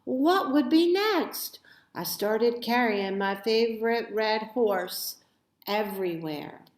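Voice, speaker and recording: A woman reading a children's story aloud with expressive, deliberate phrasing in a roomy, echoey recording. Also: on the high side. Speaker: storybook reader.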